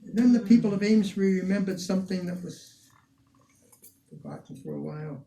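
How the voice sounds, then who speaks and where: An older man, a museum curator, telling animated anecdotes to a small audience. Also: sits high, brisk, gravelly. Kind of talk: storytelling talk to an audience.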